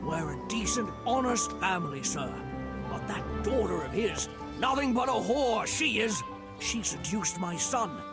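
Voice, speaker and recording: An actor playing a quarrelsome, scornful and self-righteous medieval villager in a video game. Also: male voice, high voice, very animated, unhurried, over loud music, heavily acted. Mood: angry, accusing. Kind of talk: video game cutscene acting.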